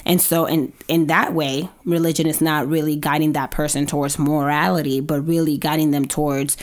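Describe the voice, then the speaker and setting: Woman, podcast co-host, very low voice, careful, measured, thinking aloud. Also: flat delivery. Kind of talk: casual podcast chat.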